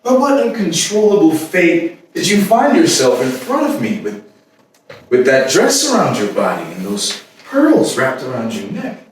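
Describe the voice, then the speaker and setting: A male actor performs an anguished man consumed by obsessive love in a staged dramatic monologue. His delivery is intense and halting, with pitch that swings widely. The voice comes from far off in a very echoey room, with faint noise behind it. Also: wildly swooping pitch. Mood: agitated, disbelieving. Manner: intense, halting, with repetitions and sudden swells.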